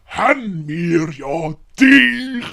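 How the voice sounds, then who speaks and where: A woman with a Swiss German accent voicing a scheming, wheedling older great-uncle in an audio story, gravelly and oily. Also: fairly high pitch, fairly bright tone, exaggerated voice. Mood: gloating.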